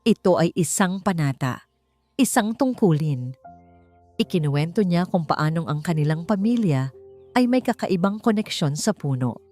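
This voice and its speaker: A woman's voice: lowish voice, expressive, normal speed, a little mellow, faint music behind. A woman with a Filipino accent reading a scripted dramatic story as an audiobook narrator.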